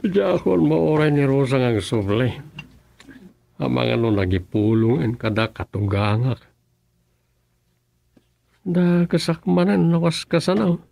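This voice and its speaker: An actor playing a put-upon husband in an Ilocano radio comedy drama, with an Ilocano Filipino accent and a gravelly, husky voice. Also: male voice, mid-range voice, big pitch swings, unhurried, long pauses, dark tone. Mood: musing, grumbling.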